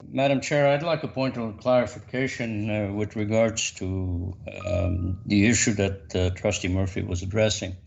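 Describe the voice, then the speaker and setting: Man trustee, loud and insistent, formal debate register, muffled lo-fi call audio. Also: lowish voice, steady tone, normal speed, gravelly, few pauses. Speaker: school board trustee. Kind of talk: formal board meeting debate. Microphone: video call.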